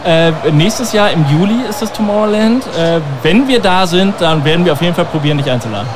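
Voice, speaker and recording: A man, a DJ and music producer, answering interview questions in a relaxed, explaining way. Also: high voice, fairly quick, background noise. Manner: relaxed, explaining, many fillers. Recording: clear music behind.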